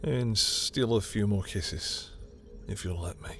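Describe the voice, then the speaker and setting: A man acts out a lovestruck villain with a lowish voice, proud and guarded yet tender. He speaks intimately and haltingly to one listener, as in a dramatic romantic audio roleplay, with music behind him. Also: a bit bright. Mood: soft, affectionate. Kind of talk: intimate second-person romantic roleplay acting.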